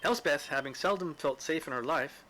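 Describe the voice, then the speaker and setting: Male story reader, deliberate literary narration, forceful bright tone. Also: on the high side, a little hiss or hum.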